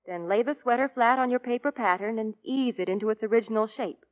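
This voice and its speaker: A woman's voice: on the high side, quick pace. A woman spokesperson delivering a scripted 1940s radio commercial. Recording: dull 1940s radio broadcast.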